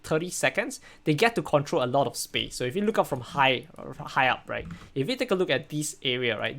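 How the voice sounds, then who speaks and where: A man's voice: fairly high pitch, creaky, fairly bright tone. A young man from Singapore with a Singaporean English accent, casually analyzing a game replay on a stream, rambling and checking in with "right?" a lot. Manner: rambling, repeats words, says "right?" often. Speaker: streamer and coach.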